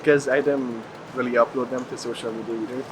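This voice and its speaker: A man's voice: mid-range voice, level tone, fast. A man from Hungary being interviewed as a traveler, chatting casually and loosely with lots of filler words. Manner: frequent "like" filler, rambling. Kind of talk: casual chat. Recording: clear ambient street noise.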